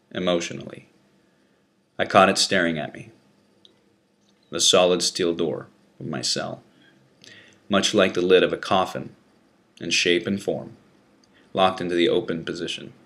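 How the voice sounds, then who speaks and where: A man with a low-pitched voice reads a first-person prison memoir aloud. His delivery is deliberate and dramatic, like a narrator working from a script. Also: steady tone, a bit bright. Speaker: narrator reading an article.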